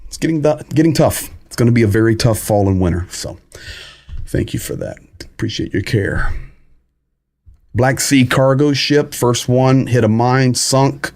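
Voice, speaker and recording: Low-voiced man on a live stream. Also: a little mellow. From United States. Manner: conversational, halting phrasing with many pauses and restarts; greets viewers and reads chat comments aloud. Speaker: host of a live war update stream. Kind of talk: casual live-stream commentary.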